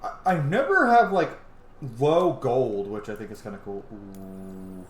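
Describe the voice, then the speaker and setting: A man's voice: on the low side, lively intonation, a little slow. A man giving casual, profanity-laced commentary while playing a video game. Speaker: gaming video host. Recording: roomy echo, clear background noise.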